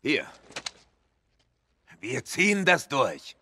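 A middle-aged male survivor from a video game, gruff and bitter. His curt delivery swings widely in pitch around an average male register. There is clear noise behind him. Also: big pitch swings, extremely slow, pushed and bright, exaggerated voice. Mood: gruff, resigned. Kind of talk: dubbed video game acting. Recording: noisy game cutscene soundtrack.

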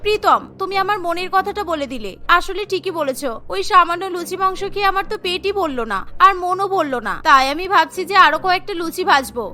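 A woman's voice: very high-pitched, expressive, fairly quick, hardly any pauses, pushed and bright, exaggerated voice. A woman voicing a cheerfully defiant, food-obsessed young new bride in a cartoon. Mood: eager, coaxing. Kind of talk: Bengali cartoon voice acting.

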